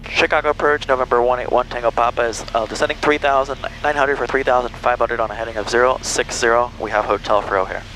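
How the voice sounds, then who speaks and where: A man's voice: mid-range voice, fairly even intonation, fast talker, hardly any pauses, bright, forceful tone, poor audio. A man working the radio as a pilot, giving clipped readbacks and casual asides over a headset in a small plane. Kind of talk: aviation radio readbacks and cockpit chat. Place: small airplane cockpit in flight. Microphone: aviation headset. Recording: cockpit intercom with engine noise.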